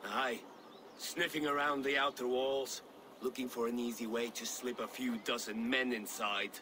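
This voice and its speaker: An actor playing an impatient, scornful Viking clansman in a video game, speaking in a curt, gruff way. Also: mid-range voice, steady tone, normal speed, noisy background, natural voice. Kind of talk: dramatic video game acting.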